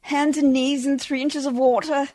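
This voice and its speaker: A woman's voice: high-pitched, little pitch movement, moderate pace, darkish tone, no echo, lightly acted. An actress in a radio play playing a prim, genteel but brave lady, proper and precise, with a fluttery romantic streak. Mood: fluttery, wistful.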